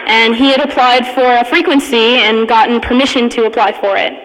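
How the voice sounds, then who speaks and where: A woman giving an informal talk, telling a personal story in a halting, amused manner. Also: on the high side, steady tone, fairly bright tone. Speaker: conference speaker, radio hacker community member. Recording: echoey hall with long reverb.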